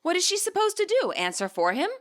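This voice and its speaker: A woman reading scripted story narration as its author, in an expressive way. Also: very high voice, fast.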